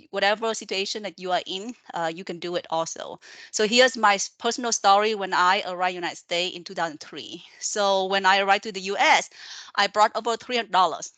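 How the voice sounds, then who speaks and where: A woman's voice: medium pitch, fairly bright tone, no echo. A woman in her forties from Vietnam with a Vietnamese accent, a college professor giving an earnest, encouraging online presentation to students. She fills her talk with "uh" and keeps checking in with "okay?".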